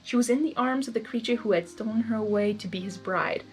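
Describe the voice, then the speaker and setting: A woman reading fan fiction aloud in expressive storybook narration, with informal asides. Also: fairly high pitch, quick pace, soft background music, low-quality recording.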